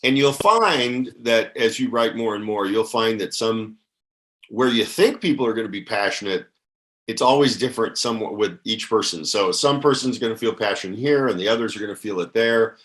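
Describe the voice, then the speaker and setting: A man hosts an interview podcast in a somewhat low voice. He keeps affirming and encouraging his guest, often stopping on hesitant fillers as he goes. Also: moderate pace, fairly bright tone. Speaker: podcast host.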